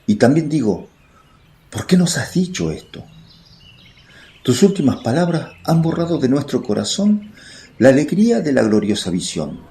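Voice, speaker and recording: A man's voice: mid-range voice, sing-song, moderate pace, pauses often, mellow, slight background noise. A man playing a fearful, awed male apostle in a religious radio drama, speaking earnestly and emotionally. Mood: plaintive, troubled.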